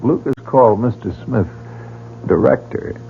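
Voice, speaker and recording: A man's voice: mid-range voice, measured pace, vocal fry, a little hiss or hum. A man playing a curious, guarded newcomer to a mysterious club in an old radio drama. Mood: wary. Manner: inquisitive, wary. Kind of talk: 1940s radio drama acting. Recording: phone-like old radio broadcast.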